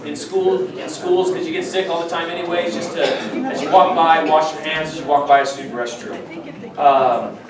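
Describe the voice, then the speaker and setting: A man's voice: on the high side, normal speed, crowd noise. A man, a school administrator, making informal announcements to colleagues at a staff meeting. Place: large room at a school faculty meeting. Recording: very echoey room, distant mic, audience murmur.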